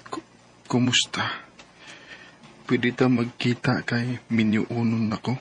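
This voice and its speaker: A man's voice: medium pitch, level tone, slow, a little hiss or hum, close to an everyday voice. An actor with a Filipino Cebuano accent playing an ambitious, remorseful young man in a Bisaya radio drama. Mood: earnest, hopeful. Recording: radio drama with music underneath.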